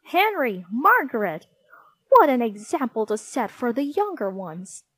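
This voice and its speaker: A woman's voice: fairly high pitch, big pitch swings, unhurried, a bit bright, dry recording, heavily acted. A woman voicing a stern, furious games mistress who barks orders in a children's audiobook. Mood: commanding. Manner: barking orders.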